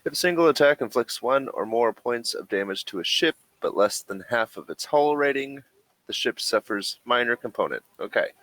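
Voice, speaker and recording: A man running a tabletop game as game master, talking casually and haltingly as he thinks rules through aloud. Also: medium pitch, animated, a bit bright, no room sound, low-quality recording.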